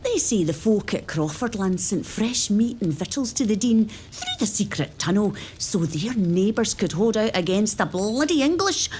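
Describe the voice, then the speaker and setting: A woman voicing an older, motherly Scottish nursemaid who tells old tales in a hushed, confiding way. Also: mid-range voice, big pitch swings, normal speed, few pauses, slight background noise, close to an everyday voice.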